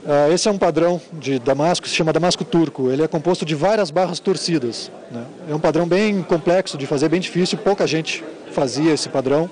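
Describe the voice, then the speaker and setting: A man's voice: a little high, a little fast, darkish tone. A man in his thirties with a southern Brazilian accent, a craftsman explaining his work informally in an interview. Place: large indoor fair hall. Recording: busy fair hall chatter. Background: crowd chatter.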